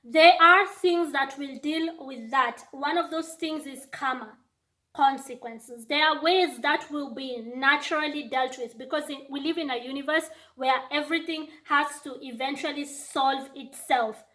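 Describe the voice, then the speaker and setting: This is a young Kenyan woman with a high-pitched voice, talking to her viewers in an advice vlog. She speaks English as a second language, with a clear Kenyan accent, and she makes her points emphatically, often saying them twice. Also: a little slow, sharp tone. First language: not English. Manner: emphatic, repeats lines for effect, acts out quoted people. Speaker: YouTuber.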